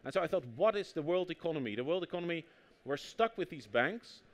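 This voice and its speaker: Dutch-accented male guest author, somewhat high pitch, animated storyteller who acts out quotes, public talk setting. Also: quick pace. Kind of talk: conversational interview on stage.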